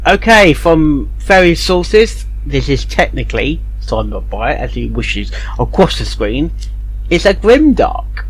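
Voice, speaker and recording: A man with a fairly high voice reacts casually to a story. His intonation is very animated, and he talks haltingly, like a host thinking aloud. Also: slightly rough, faint music behind, poor audio.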